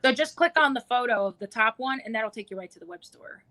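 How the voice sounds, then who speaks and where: A woman with a New York accent, a guest on a livestream, talking quickly and chatting freely. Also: on the high side, expressive, a crowd in the background. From New York City. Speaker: guest, miniature painter. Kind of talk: casual livestream chat.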